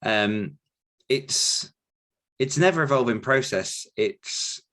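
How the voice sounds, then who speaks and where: A man's voice: mid-range voice, expressive, slow pace, fairly bright tone. A man, talking casually and thoughtfully as an author and podcast host answering questions.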